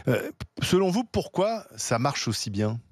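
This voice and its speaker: A man hosting a talk show, briskly prompting his guest with questions. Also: mid-range voice, big pitch swings, a little mellow, breathy edge, no room sound, studio quality. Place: TV studio.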